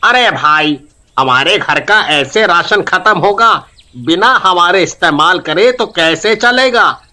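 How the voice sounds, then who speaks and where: A man voicing anxious male villagers in a cartoon, complaining loudly about their vanishing food. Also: high voice, expressive, takes it slowly, heavily acted. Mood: fretful. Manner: loud, complaining. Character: worried male villagers, loud and fretful.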